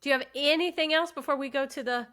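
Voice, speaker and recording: A woman's voice: high-pitched, fast, bright, forceful tone. A woman co-hosting a casual podcast, chatting with her co-host and sharing listener stories. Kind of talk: casual podcast chat, reading listener mail.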